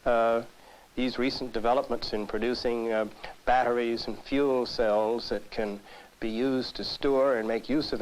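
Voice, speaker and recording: A man giving a thoughtful, deliberate interview answer as a scientist, pausing and hesitating as he explains. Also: medium pitch, a little mellow. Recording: faintly noisy 1960s film soundtrack.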